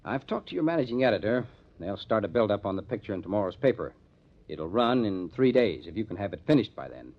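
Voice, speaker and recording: An actor playing a hard-nosed, determined police detective in an old-time radio crime drama, speaking in a measured, emphatic way. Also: male voice, on the low side, very animated. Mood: grimly determined. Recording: dull lo-fi old radio recording.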